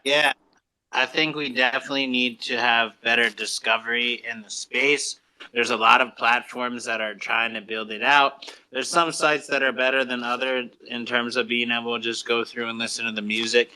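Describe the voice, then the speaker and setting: A man's voice: medium pitch, flat delivery, normal speed, sharp tone, smooth, rough recording. A man hosting a casual live audio discussion, speaking informally with some slang. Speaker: host.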